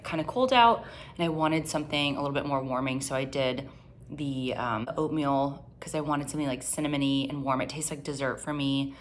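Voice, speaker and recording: A woman's voice: low-pitched, a little hiss or hum. A woman in her thirties from Finland, a nutritionist chatting casually to viewers as she narrates her day of eating. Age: 30s. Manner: casual, chatty, upbeat, addresses viewers as guys. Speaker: nutritionist and vlogger. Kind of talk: what-I-eat-in-a-day vlog narration.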